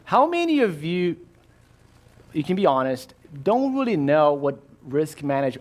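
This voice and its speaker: A man from Brazil with a Brazilian Portuguese accent, an insurance rep giving a relaxed workshop talk with many fillers and restarts. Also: on the high side. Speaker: insurance rep and risk manager. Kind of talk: workshop presentation.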